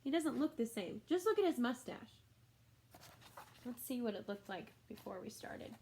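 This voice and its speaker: Female teacher, higher-pitched, expressive picture-book read-aloud for children, chatty and explanatory, speaks directly to listeners. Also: moderate pace, a little hiss or hum.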